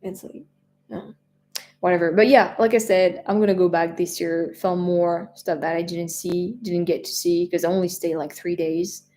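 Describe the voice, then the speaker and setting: A woman's voice: fairly low pitch, steady tone, normal speed, warm tone. A woman in her twenties with a French accent, chatting casually on a live stream, rambling with many fillers and restarts. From France. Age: 20s. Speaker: travel vlogger answering live chat.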